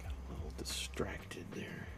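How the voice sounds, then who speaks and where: Relaxed man teaching painting live. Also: unhurried, in a whisper, over loud music. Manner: relaxed, thinks aloud while painting, friendly to viewers. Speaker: painting instructor. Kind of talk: live-streamed painting lesson.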